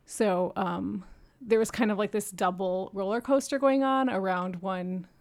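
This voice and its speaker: Podcast guest, woman, 30s, gravelly voice, reflective storyteller who laughs often. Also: mid-range voice, measured pace, a little mellow. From Japan and New York City. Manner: earnest, reflective, laughs often between thoughts. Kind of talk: candid personal storytelling.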